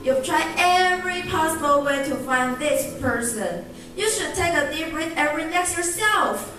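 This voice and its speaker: A young woman plays a concerned young daughter in a radio drama. Her voice is very high and animated, echoing in a big hall over clear background music. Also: measured pace. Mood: concerned, questioning. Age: young adult. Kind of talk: student radio drama acting. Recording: very echoey hall, distant mic, music behind.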